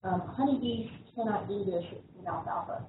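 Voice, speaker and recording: A woman giving a relaxed slide lecture on bees, with frequent ums and restarts. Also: medium pitch, moderate pace, background noise. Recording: echoey, tinny, distant room recording.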